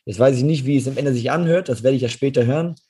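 A hoarse man co-hosting a podcast, chatting casually and agreeably about business. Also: medium pitch, quick pace. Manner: relaxed, agreeable, often affirming. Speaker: podcast co-host.

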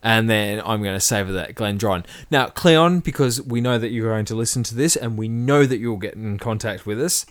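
A man's voice: lowish voice, normal speed, hardly any pauses. A man co-hosting a podcast, bantering playfully in casual chat. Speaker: podcast co-host.

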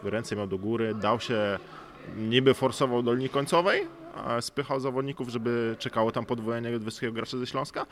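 A man's voice: mid-range voice, steady tone, a little fast. A man, explaining things thoughtfully as an athlete being interviewed, often adding small tag questions. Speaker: professional basketball player, interview guest. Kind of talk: analytical sports interview talk. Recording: clearly noisy background ambience.

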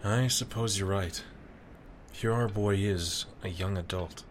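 An actor playing a tender, proud father, speaking quietly in an audio drama. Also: fairly low pitch, a little slow, a little hiss or hum, close to an everyday voice. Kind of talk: soft audio drama acting.

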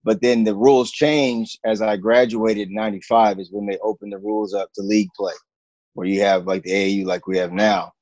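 A man, a basketball coach, talking casually in an interview, relaxed and halting with many fillers. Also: on the low side, dry recording, dull audio.

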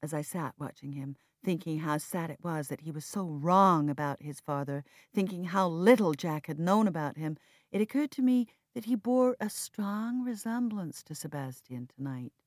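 A woman's voice: sits low, expressive, normal speed, dry recording, studio quality. A woman audiobook narrator giving a dramatic, first-person reading of literary fiction.

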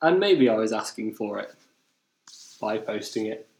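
A man's voice: fairly low pitch, expressive, unhurried, darkish tone. A young man with an English accent, telling anecdotes in casual podcast chat. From England. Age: young adult. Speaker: podcast host. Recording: roomy, echoing indoor recording.

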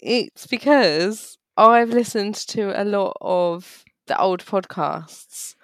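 A woman co-hosting a podcast, chatting casually about songs, her voice croaky as she loses it. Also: mid-range voice, takes it slowly, fairly bright tone, no room sound. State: losing her voice. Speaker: podcast co-host. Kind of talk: casual music review chat.

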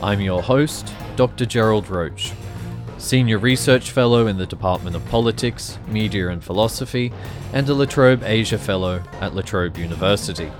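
A man hosting a podcast, giving formal scripted introductions and asking clear questions. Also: fairly low pitch, normal speed, over music. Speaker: podcast host. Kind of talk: podcast interview.

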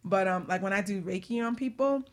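A woman hosting a casual talk show, telling stories in an animated, exclamatory way. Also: mid-range voice, a little fast. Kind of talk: casual chat.

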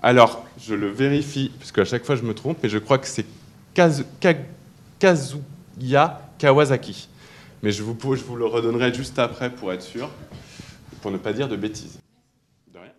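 A man's voice: mid-range voice, moderate pace, pauses often, slight background noise. A man giving a live talk to an audience about design, often restarting his sentences. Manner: hesitant, many restarts and fillers. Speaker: speaker presenting to an audience.

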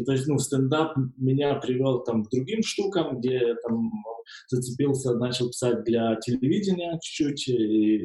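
Male comic guest, casual and halting, mid-pitch, roomy video-call audio. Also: a little mellow. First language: not English. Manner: hesitant, lots of fillers and restarts. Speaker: guest, stand-up comic and screenwriter.

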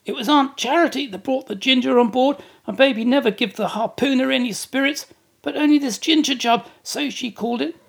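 Shrill, whining ship's steward. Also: male voice, a little fast, creaky, breathy edge, exaggerated voice. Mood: frightened protest. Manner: pleading, high-strung. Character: whining, frightened ship's steward protesting innocence. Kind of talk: dramatised classic novel reading.

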